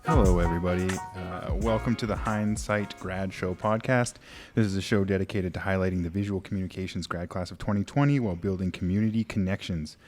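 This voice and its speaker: A young man hosting a student podcast, relaxed and playful in casual chat. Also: low voice, a little fast, music in the background. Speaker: podcast host.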